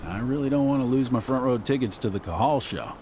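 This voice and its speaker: A man's voice: mid-range voice, lively intonation, fast talker, background noise, natural voice. A man playing a loyal, wry friend in a video game, talking in a casual way. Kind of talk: video game cutscene acting.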